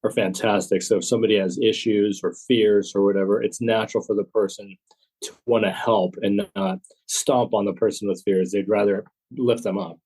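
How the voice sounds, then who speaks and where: A man from Texas, a former agent turned author, answering interview questions in a steady, measured way. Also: fairly low pitch, breathy edge.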